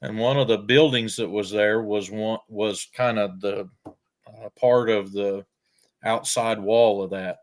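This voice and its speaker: An older man, telling farm stories in a folksy, unhurried way with frequent pauses. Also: lowish voice, fairly even intonation. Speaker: storyteller guest. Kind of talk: casual storytelling.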